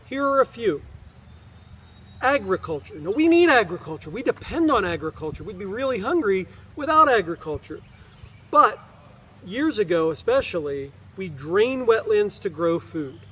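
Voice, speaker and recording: A man's voice: high voice, sing-song, normal speed, pushed and bright, husky. An American man reading aloud as a teacher, explaining things in an instructive way and checking that listeners follow. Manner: instructive, asks questions and checks understanding. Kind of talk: educational read-aloud with explanatory asides.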